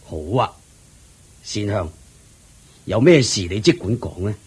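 An actor playing an elderly, generous lord in a radio drama, speaking in a slow, measured, approving way with a Hong Kong Cantonese accent. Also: male voice, on the low side, very animated, breathy edge, full character voice. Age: older. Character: elderly kindly male lord, generous father-in-law.

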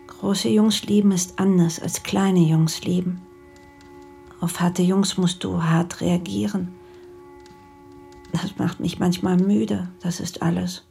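A woman voicing a weary, battered young mother who speaks softly and pleadingly in an audiobook. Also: fairly low pitch, fairly even intonation, takes it slowly, creaky, faint music behind. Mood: tender. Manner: soft, pleading, often half-whispered. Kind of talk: audiobook dialogue reading.

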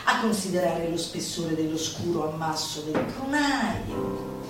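A woman performing a theatrical, comic declamation with an emphatic, drawn-out delivery. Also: on the low side, expressive. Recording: echoey live recording from far off. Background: music.

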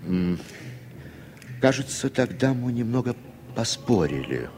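An actor playing an evasive, sardonic American writer in a 1960s Soviet radio drama, with a husky voice. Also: male voice, medium pitch, very animated, a little slow, background noise. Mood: reluctant, reminiscing.

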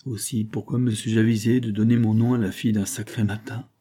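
A man's voice: lowish voice, little pitch movement, brisk, dark tone, lightly acted. A man reading an audiobook, voicing a drunken, bitter glassmaker husband who bullies and wheedles, emphatic and sardonic. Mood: bitter, angry.

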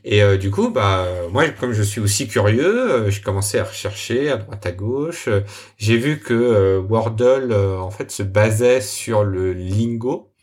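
A young adult man, a software developer, explaining his project in a casual interview, hesitating often with many fillers and restarts. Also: on the low side, animated, unhurried. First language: French.

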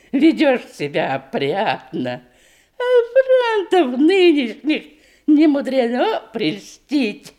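High-pitched, effusive old widow. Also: female voice, a little mellow. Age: older. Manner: exclamatory, folksy, drawn-out declamation. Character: old thrice-widowed provincial woman, bossy, effusive matchmaking aunt. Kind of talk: theatrical radio comedy acting in verse.

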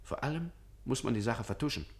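A man's voice: mid-range voice, animated, a little fast, natural voice. An actor in a German radio play playing a self-important, evasive senior civil servant who dithers officiously and draws out his phrases. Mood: cautious, evasive.

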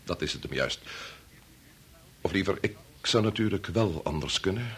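Grave, low-voiced chief scientist, torn by duty. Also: male voice, lively intonation, fairly bright tone. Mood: troubled, firm. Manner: deliberate, hesitant, formal. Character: grave, conscience-torn male chief bacteriologist, father and husband. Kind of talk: Dutch radio play acting.

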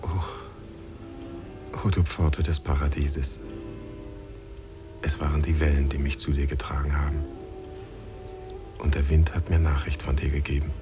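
Fairy tale adventurer, really deep voice, earnest and poetic, old radio play with music behind. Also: male voice, level tone, unhurried, pauses often. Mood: tender, enraptured. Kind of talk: 1950s German radio drama acting.

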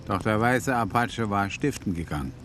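An actor playing a sly, knowing former Comanche who speaks tersely in a German radio drama. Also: male voice, lowish voice, animated, moderate pace, over music, natural voice.

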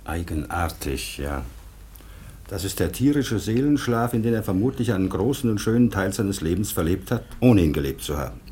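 A man playing a dignified, decisive high official in a radio drama, with a formal and authoritative manner. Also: sing-song, moderate pace, mellow, breathy edge, heavily acted. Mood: grave, pondering. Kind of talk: German radio drama acting.

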